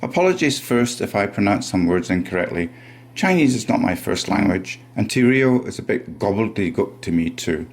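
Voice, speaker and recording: A man's voice: on the low side, expressive, normal speed, gravelly. A man reading a scripted voice-over in a sardonic tone. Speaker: channel narrator.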